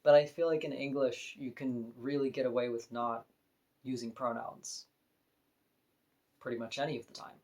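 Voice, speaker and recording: A woman with a deep voice, talking frankly and casually to camera in an opinion vlog, now and then laughing softly. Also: steady tone, moderate pace, long pauses. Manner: frank, conversational, with soft laughs. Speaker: vlogger.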